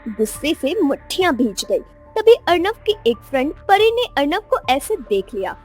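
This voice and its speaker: A woman's voice: high-pitched, sing-song, moderate pace, a little mellow. A woman narrating a scripted romance story in a dramatic style. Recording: music under the voice.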